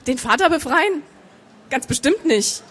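A woman's voice: high voice, animated, a little slow, fairly bright tone, a little hiss or hum, voice pushed up. A woman voicing a stubborn, sarcastic, hard-drinking woman of action in a storybook, blunt and defiant.

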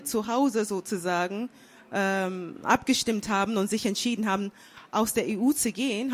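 A woman born and raised in London, speaking as a guest on a panel, thoughtful and pausing often with fillers. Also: a little high. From England. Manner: thoughtful, many fillers and restarts. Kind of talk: panel discussion.

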